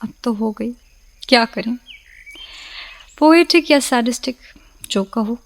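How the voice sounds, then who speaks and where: A woman's voice: a little high, takes it slowly, gravelly. An actress playing a guarded young woman from a broken home who speaks in a wry, poetic way, in a studio radio play. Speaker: radio drama actor.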